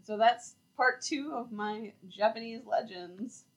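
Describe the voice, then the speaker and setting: A woman's voice: on the high side, takes it slowly, poor audio. Chatty woman co-hosting a podcast. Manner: chatty, laughs often, many "like" fillers. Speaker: podcast co-host. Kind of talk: casual chat.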